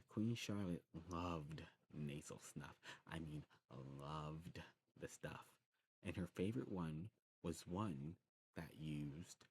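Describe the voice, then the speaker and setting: A man with a very deep voice plays a friendly demon shopkeeper in an ASMR roleplay. He talks informally and knowledgeably, rambling and correcting himself as he recommends goods. Noticeable ambient noise sits behind his voice. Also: darkish tone. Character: friendly demon snuff shop keeper, knowledgeable and enthusiastic. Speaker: ASMR performer playing a snuff shopkeeper. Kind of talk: ASMR shop roleplay, casual recommending chat.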